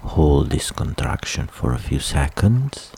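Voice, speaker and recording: A man with an extremely low, mellow voice guides a relaxation meditation. He speaks soothingly and very slowly, leaving long pauses between instructions. A faint trickle of water runs behind him. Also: big pitch swings, gravelly, a little hiss or hum.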